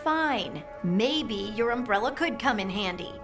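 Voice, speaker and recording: A woman's voice: on the high side, expressive, measured pace, over music. An actress playing a practical, outspoken young outdoorswoman in a video game, plain-spoken and friendly with teasing exasperation. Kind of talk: video game cutscene acting.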